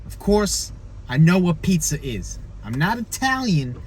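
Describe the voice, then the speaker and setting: A man's voice: high voice, big pitch swings, a little slow, darkish tone, a little hiss or hum. A man from Central New Jersey with a New Jersey accent, chatting casually and upbeat to his viewers in a vlog. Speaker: vlogger.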